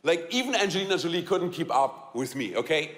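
A man's voice: fairly high pitch, sing-song, fairly bright tone. German-accented man, echoing hall. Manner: halting, repeats words, laughs often, quizzes the audience. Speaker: speaker telling a personal story. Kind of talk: live storytelling talk to an audience.

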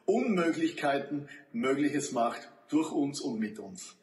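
A man's voice: medium pitch, animated, takes it slowly, a little mellow. Upbeat man in an echoey room. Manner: encouraging, upbeat. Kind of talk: testimony at service. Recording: roomy echo, faint ambience.

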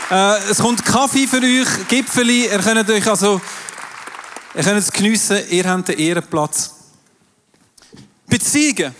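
A man's voice: high-pitched, measured pace, long pauses, fairly bright tone, a little hiss or hum. Male pastor, Swiss German accent, French his first language, emphatic sermon delivery, long hall reverb. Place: large hall with audience. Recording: distant voice in a reverberant hall with crowd.

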